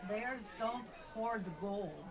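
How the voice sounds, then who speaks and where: A woman playing a skeptical spellcaster in a comedy radio play, dry and measured. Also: on the high side, lively intonation, a crowd in the background, exaggerated voice. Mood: wry. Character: skeptical spellcaster fond of curses.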